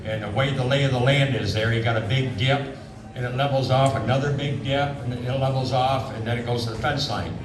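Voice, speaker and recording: A man's voice: medium pitch, steady tone, brisk. An older man with an Upstate New York accent, a former police officer telling a rambling story to a festival crowd on a handheld mic. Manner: plain-spoken, rambling, self-correcting. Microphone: handheld microphone. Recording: echoey, from far off, loud music behind.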